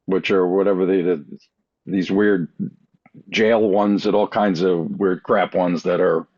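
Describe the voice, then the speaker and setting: A man's voice: deep voice, fairly even intonation, a little slow, darkish tone, no echo. A man chatting casually as a podcast panelist, rambling along in a wry way. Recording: dull, dry podcast audio.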